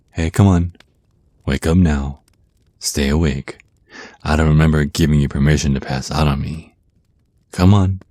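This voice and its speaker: Man voicing a very deep, seductive vampire naga. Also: darkish tone, no echo. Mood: coaxing, commanding. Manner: slow teasing menace, pet names, breathy pauses. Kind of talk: intimate ASMR roleplay acting.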